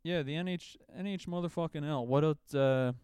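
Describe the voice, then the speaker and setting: Sarcastic deadpan young man, smooth voice. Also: fairly high pitch, normal speed, dry recording, clean studio sound. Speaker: podcast co-host. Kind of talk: casual chat.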